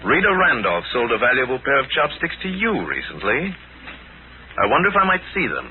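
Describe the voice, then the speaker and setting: An American actor playing a calm, commanding pulp hero sleuth, asking measured, polite but firm questions in 1940s radio style. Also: male voice, mid-range voice, narrow, tinny audio. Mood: probing. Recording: band-limited, hissy 1940s radio recording.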